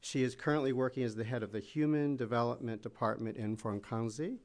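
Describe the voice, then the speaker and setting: A man's voice: mid-range voice, fairly quick, a little mellow. A man acting as moderator, formally introducing the next speaker.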